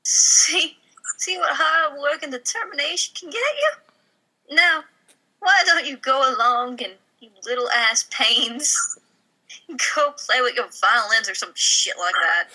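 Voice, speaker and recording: A woman's voice: high voice, expressive, slow pace, bright, forceful tone, heavily acted, poor audio. A woman playing a brash, foul-mouthed, callous mother of a mafia family in a comedy table read. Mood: scornful, bossy.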